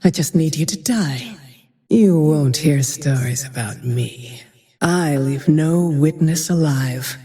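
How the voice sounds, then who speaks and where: A woman playing a cold, vengeful, menacing female archer in a video game, with a whispery edge and slow, icy delivery. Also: low-pitched, mellow, big-room sound. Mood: cold menace. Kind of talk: video game character voice lines.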